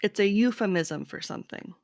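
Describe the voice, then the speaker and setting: A woman's voice: mid-range voice, fairly quick, darkish tone, no echo, clean studio sound. A woman hosting a podcast, casually quizzing a guest in a plain, patient way. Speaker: podcast host. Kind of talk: casual quiz chat.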